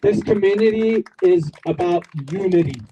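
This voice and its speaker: A man's voice: high-pitched, normal speed, dark tone, highs cut off, slight background noise. A man from Bangladesh giving an emphatic outdoor community speech, rallying the crowd with repetition and jokes. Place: outdoors at a street corner.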